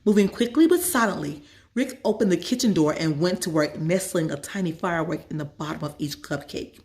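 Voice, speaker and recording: A woman's voice: lowish voice, animated, brisk, a little mellow. A woman storyteller narrating a children's story from a script.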